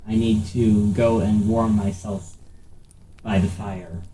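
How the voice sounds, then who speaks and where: Man, slow delivery, mellow tone, casual game banter, echoey room, background noise. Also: lowish voice, fairly even intonation. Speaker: tabletop game player.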